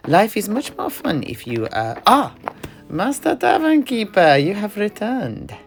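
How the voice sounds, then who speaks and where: Worldly mercenary knight, acted by a man, animated and hesitant storyteller, gravelly edge, fantasy audio drama over faint music. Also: high-pitched, measured pace, exaggerated voice. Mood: genial. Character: worldly, good-humoured mercenary knight telling his tale.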